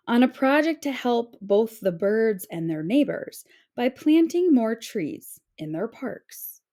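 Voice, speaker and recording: A woman reading a children's picture book aloud in an expressive, carefully phrased storytelling way. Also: fairly high pitch.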